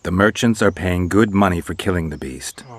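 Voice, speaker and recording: A fantasy game mercenary who hunts monsters. The voice sits very low and gravelly. The delivery is clipped and deadpan, never ruffled. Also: male voice, normal speed. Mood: dry, matter-of-fact. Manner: terse, deadpan. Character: gruff, dry, unflappable male monster hunter for hire.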